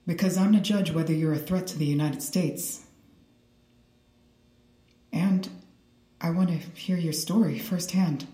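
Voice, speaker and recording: An actress playing a cool, unyielding government interrogator in an audio drama, measured, dry and matter-of-fact. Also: female voice, fairly low pitch, fairly even intonation, dark tone, lightly acted. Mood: firm, dry. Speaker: actor in audio fiction. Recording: roomy echo, faint music underneath.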